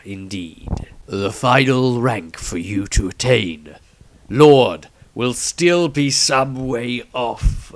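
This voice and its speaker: Man voicing a slow, solemn old wizard patriarch. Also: on the low side, a bit bright, no echo. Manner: slow, halting, weighty pronouncements. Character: aged solemn wizard patriarch, grave and defeated.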